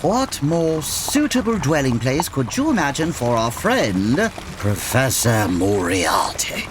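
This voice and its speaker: Victorian detective, proper and precise, very animated intonation, audio comedy, noisy rainy backdrop. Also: male voice, medium pitch, slow pace. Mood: explanatory. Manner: formal, precise, gently pompous. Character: composed, courteous Victorian gentleman detective. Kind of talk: comedy audio drama acting. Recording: noisy, rain-like hiss behind.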